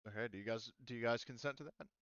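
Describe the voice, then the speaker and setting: A man acting as game master, casually explaining rules and narrating a tabletop game, rephrasing haltingly as he goes. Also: mid-range voice, fairly bright tone, clear, resonant tone, dry recording, dull audio.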